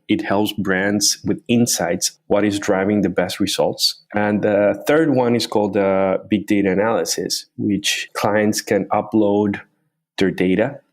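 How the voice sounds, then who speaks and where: Low-voiced man. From Colombia. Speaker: guest, company founder. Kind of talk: interview answers.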